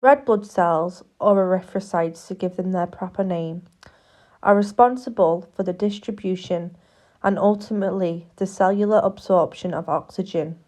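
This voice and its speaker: A woman reading a scripted educational narration in a measured, formal, explanatory manner, like a public information film. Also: on the low side, dark tone.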